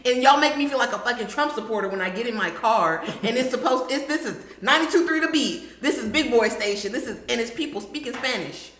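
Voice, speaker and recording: Fast-talking blunt woman. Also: on the high side, expressive, fairly bright tone. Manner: blunt, quick agreeing interjections. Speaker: podcast co-host. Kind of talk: casual chat. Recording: roomy echo.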